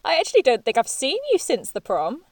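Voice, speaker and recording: A woman's voice: sits high, wildly swooping pitch, a little fast, exaggerated voice. A woman with an English accent playing a defiant, sardonic young woman in an audio drama, blunt and dry in manner. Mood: teasing. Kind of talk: naturalistic audio drama acting.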